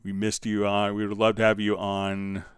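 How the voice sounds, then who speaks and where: A man hosting a live software tutorial in an informal, conversational way, thinking aloud as he demonstrates. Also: fairly low pitch, monotone, moderate pace, clean tone, no room sound, clean studio sound. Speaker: livestream host and software instructor.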